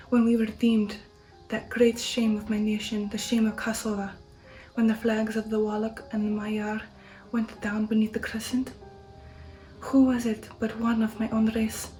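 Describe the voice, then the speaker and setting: A woman voicing a proud old male vampire count in a gothic storybook, soft and half-whispered, stately and declamatory. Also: on the high side, lightly acted, rough recording. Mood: indignant pride. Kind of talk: ASMR audiobook reading of a gothic novel.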